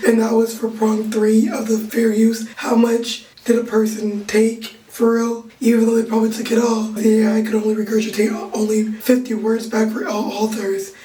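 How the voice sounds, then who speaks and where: A young woman with a naturally raspy voice that has gone hoarse, talking casually as she explains legal points in plain terms. Her pitch sits a little high and stays fairly flat. She sounds set back from the mic in a roomy, echoing space. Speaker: legal intern vlogger. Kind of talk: casual vlog recap. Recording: roomy echo, distant from the mic.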